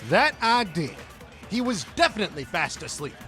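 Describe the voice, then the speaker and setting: High, forceful, pompous preacher. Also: male voice, very animated, moderate pace, soft background music, full character voice. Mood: pompous indignation. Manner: pompous, declamatory. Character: pompous, indignant, preachy young man. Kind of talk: audio drama acting.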